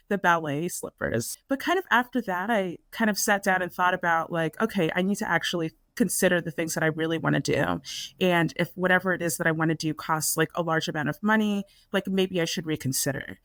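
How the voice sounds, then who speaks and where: A woman's voice: medium pitch, a bit bright, no echo. Chatty woman guest, dry sound. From Florida. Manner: chatty, many "like" fillers. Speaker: artist guest. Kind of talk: casual interview conversation.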